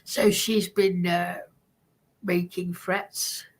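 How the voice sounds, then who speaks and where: Slow-paced woman, hesitant commentary. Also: on the low side, fairly even intonation. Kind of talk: casual commentary on played clips.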